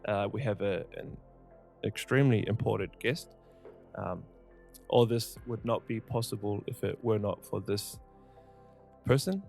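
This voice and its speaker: A man's voice: on the low side, a little slow, vocal fry, pauses often, darkish tone, soft background music. A man hosting his own podcast, earnestly explaining his plans and then interviewing his mother, with frequent hesitations. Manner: earnest, hesitant, many fillers. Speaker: podcast host. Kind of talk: casual podcast intro and interview.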